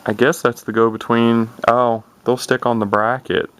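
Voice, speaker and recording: A man's voice: medium pitch, flat delivery, normal speed, fairly bright tone, clear, resonant tone. A man in his thirties giving casual, unscripted commentary in a folksy, rambling way, thinking aloud into a handheld camera's microphone. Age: 30s. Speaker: vintage CB radio collector showing an unboxing. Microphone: handheld camera's built-in microphone.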